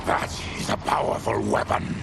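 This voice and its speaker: An actor playing a stern, noble otherworldly warrior in a video game, gravelly and formal in his weighty phrasing. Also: normal speed, heavy background noise, natural voice. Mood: resolute. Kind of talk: dramatic video game voice acting. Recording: loud cinematic music behind the voice.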